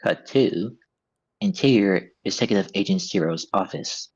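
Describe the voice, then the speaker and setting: Low-voiced male narrator, slightly dull sound. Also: animated, measured pace, breathy edge. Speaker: narrator reading stage directions. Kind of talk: scripted narration at a live table read.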